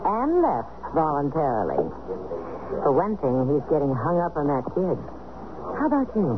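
Worldly, teasing woman for a radio play, animated pitch, tinny old broadcast. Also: normal speed, background noise. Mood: wry. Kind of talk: 1970s radio drama acting.

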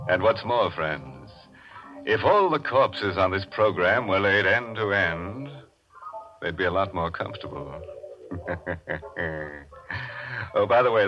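An actor playing a gleefully morbid, mock-solemn horror host in the style of 1940s radio. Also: male voice, lowish voice, bright, forceful tone. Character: ghoulish, gleeful male horror host cracking morbid jokes. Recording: dull old radio recording.

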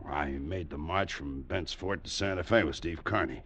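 A seasoned frontier army sergeant with an extremely deep voice. He is gruff, blunt and sure of himself but keeps military deference, on an old radio drama recording with faint noise. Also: normal speed, a bit bright, full character voice. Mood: firm, certain. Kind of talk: 1950s radio western acting.

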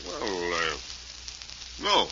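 An actor playing an evasive, irritated Hollywood talent scout in a 1940s radio drama, curt and indignant. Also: male voice, low-pitched, big pitch swings, drawn-out words, exaggerated voice. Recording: noisy, muffled 1940s radio recording.

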